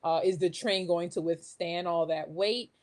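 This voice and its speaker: Woman casually explaining, slightly low voice. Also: steady tone, moderate pace. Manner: talks through ideas with self-posed questions. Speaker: guest, children's craft maker and educator.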